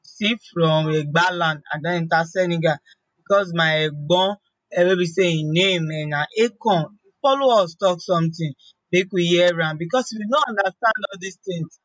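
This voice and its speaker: A woman's voice: fairly low pitch, normal speed, no room sound, rough recording. A woman with a Nigerian accent hosting a morning radio show, chatty and playful, with many "eh" fillers. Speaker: radio host. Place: radio studio. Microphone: studio microphone.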